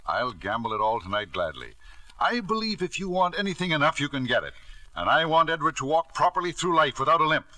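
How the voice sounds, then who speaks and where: An actor playing a scheming, reckless businessman and devoted father in 1950s radio drama, with a smooth, theatrical delivery. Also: fairly high pitch, very animated, fairly quick, sharp tone, no echo, exaggerated voice, rough recording. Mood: fervent.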